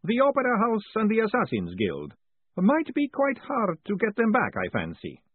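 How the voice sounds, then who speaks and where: A man voicing a cheerful, fussy male wizard professor who sounds high, bright and chatty. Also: huge pitch swings, normal speed, husky, no room sound, full character voice. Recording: tinny phone-like audio.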